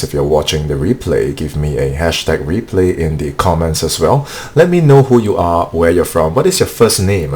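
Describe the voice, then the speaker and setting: A low-voiced man leads a motivational live-stream training for remote sales closers. He talks earnestly and repeats himself, dropping "okay" between points. A faint hum sits under the voice. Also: a little mellow. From Singapore. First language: not English. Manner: earnest, repetitive, peppers speech with "okay".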